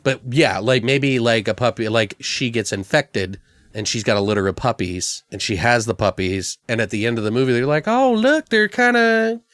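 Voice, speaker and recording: A man hosting a podcast, chatting casually and rambling through a story pitch with some swearing. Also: moderate pace, dry recording, clean studio sound. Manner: rambling, profane, animated retelling. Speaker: podcast host.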